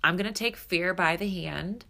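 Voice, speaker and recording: A woman's voice: fairly low pitch, normal speed, gravelly, pushed and bright. A woman in her thirties hosting her own podcast, talking candidly and casually with lots of fillers, a little stuffed up and nasal. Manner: chatty, candid, filler-heavy. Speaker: podcast host and spiritual coach. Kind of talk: solo podcast monologue.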